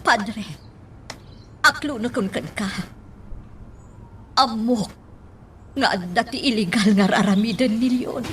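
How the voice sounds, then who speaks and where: A woman's voice: on the high side, takes it slowly, pauses often, a bit bright, a little hiss or hum. An actress playing a worried lady mayor in a radio drama, earnest and anxious as she confides. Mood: worried, confiding. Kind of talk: Ilocano radio drama acting.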